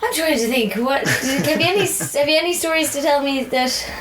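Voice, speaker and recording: A woman's voice: high voice, normal speed, a bit bright. A woman with an Irish accent hosting a casual interview, reacting and agreeing readily in an informal way. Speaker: interviewer, host. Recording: roomy echo, background noise.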